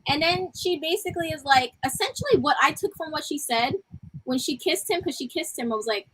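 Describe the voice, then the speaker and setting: A woman with a high, tiny voice that still comes across bright and forceful. She chats casually as a co-host, giving her commentary in everyday language. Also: expressive, brisk, a little hiss or hum, poor audio. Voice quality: small, tiny voice. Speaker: co-host of a wrestling review show.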